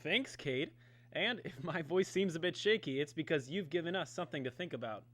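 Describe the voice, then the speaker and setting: A man's voice: a little high, lively intonation, a little fast, fairly bright tone. A man hosting and announcing in a scripted 1950s radio style. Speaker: radio show host announcer.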